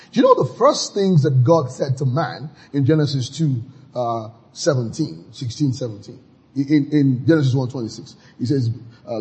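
Very husky, exuberant male preacher. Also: on the high side, low-quality recording. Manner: exuberant preaching with sudden shouts and laughs. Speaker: pastor. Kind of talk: sermon.

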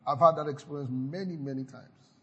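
A man's voice: on the high side, fairly quick, a bit bright, slightly rough, lo-fi sound. A man preaching a sermon, exhorting his listeners and leading them in repeated declarations with frequent hallelujahs. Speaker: pastor. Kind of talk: sermon with call-and-response declarations.

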